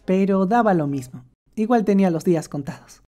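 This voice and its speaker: Woman narrator for a cartoon; sardonic, joking, warm low tone. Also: expressive, a little fast, soft background music. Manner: sarcastic, playful asides. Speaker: animator narrator. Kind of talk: comic scripted narration.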